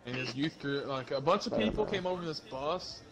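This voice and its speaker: A young man casually telling stories to friends while gaming, slangy and animated as he acts out the dialogue. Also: a little high, brisk, very noisy. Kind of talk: casual storytelling among friends during online game.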